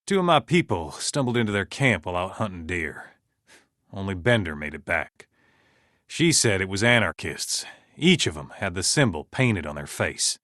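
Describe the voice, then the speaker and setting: Casting: hard-bitten American survivalist boss for a game. Low register, very expressive, folksy and commanding. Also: male voice, normal speed, no room sound, clean studio sound. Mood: wry, grave. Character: gruff male survivalist camp leader, stern and hard-bitten. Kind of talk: video game character dialogue.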